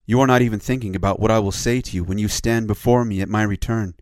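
A man's voice: fairly low pitch, fairly even intonation, fast talker, a little mellow, no echo, clean studio sound, close to an everyday voice. A man playing a stern but loving divine lord in a children's story, solemn and admonishing.

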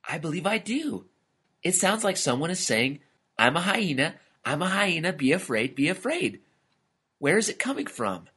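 A man's voice: fairly high pitch, sing-song, normal speed, pushed and bright, husky, voice pushed up, heavily acted. A man voicing a cheerful, polite male camel with a friendly, courteous manner in a storybook. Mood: curious.

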